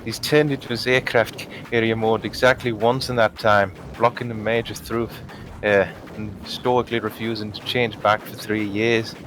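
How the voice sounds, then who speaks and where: A man's voice: lowish voice, level tone, normal speed, fairly bright tone, a little hiss or hum. A man reading a comedic fan comic as narrator in a wry, conversational way. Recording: music bed behind voice. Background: music.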